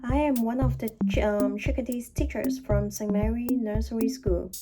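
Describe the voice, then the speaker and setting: A woman's voice: on the high side, fairly even intonation, moderate pace, loud music behind the voice. A woman who teaches nursery school, reading a picture book aloud for young children.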